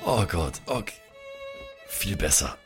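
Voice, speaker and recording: A man's voice: low-pitched, big pitch swings, slow, music in the background. A man playing a tabletop game, speaking in a casual, colloquial, teasing way. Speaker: player in a tabletop role-playing podcast. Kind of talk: casual improvised in-character chat.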